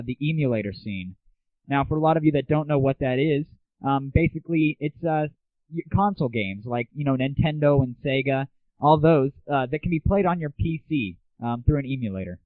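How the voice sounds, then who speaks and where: A man's voice: medium pitch, no room sound. Phone-like recording of an irreverent man chatting. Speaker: host of an internet audio show. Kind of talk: casual solo rant and chat. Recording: muffled phone-like lo-fi 1990s internet audio.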